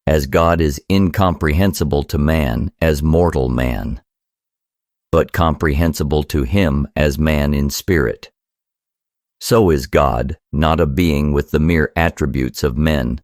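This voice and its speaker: An extremely deep-voiced man reads scripture solemnly and formally. He takes his time over each phrase, and the sound is dry and free of echo. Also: a little mellow. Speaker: reader of a scriptural book.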